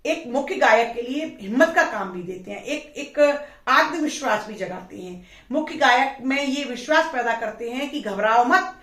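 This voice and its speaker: A woman's voice: a little high, a bit bright. A woman teacher explaining a poem line by line to students, often asking a question and then answering it herself. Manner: explanatory, asks and answers her own questions. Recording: echoey room, distant mic.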